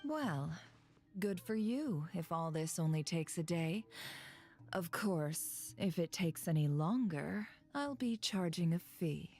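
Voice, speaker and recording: A woman's voice: lowish voice, lively intonation, takes it slowly, a little mellow. A woman playing a cool, exacting boss in a video game, curt and haughty in manner. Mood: cool, dry.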